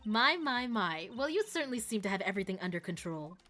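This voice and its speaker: A sweet old nun who sounds polite and a touch sly, as a woman reads her lines. The voice is slightly higher and louder than the reader's usual talk, with a stuffy, faintly hoarse edge. It is a gentle character voice from a story game. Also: big pitch swings, fast, soft background music. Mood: coy.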